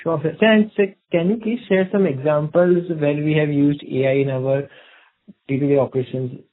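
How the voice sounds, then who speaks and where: A man's voice: fairly high pitch, fairly even intonation, normal speed. A man, an analyst caller, asks questions politely and hesitantly on a conference call, often repeating words. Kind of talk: spontaneous question on earnings call. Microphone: telephone. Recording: narrow phone line.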